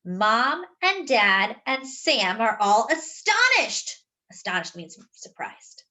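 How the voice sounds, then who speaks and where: Animated, bright-voiced woman reading a picture book. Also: a little high, rough recording. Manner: animated, pausing to explain words. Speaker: storyteller reading a children's picture book aloud. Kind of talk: expressive read-aloud narration for young children.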